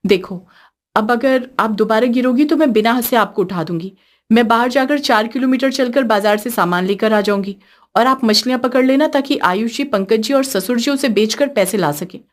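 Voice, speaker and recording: Cartoon younger daughter-in-law, female narrator acting: young woman, pointed, teasing, quick to take fright. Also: fairly high pitch, natural voice. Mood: bargaining.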